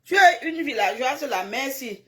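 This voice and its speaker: A woman speaks to viewers on a live stream in a fairly high voice. She takes her time, drawing her points out slowly. Her delivery is blunt and scolding, and she repeats phrases for emphasis. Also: expressive, bright, forceful tone. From francophone Africa, grew up in a village. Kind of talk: casual live stream chat, replying to viewers' comments.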